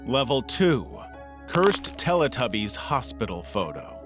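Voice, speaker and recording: A man's voice: mid-range voice, sing-song, unhurried, music in the background. A man reading scripted narration in the role of an online video narrator. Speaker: narrator of internet video countdown.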